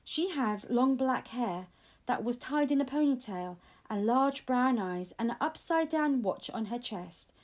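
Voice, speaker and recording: Female audiobook reader for children's fiction; high, animated delivery, expressive first-person storytelling. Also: a little slow.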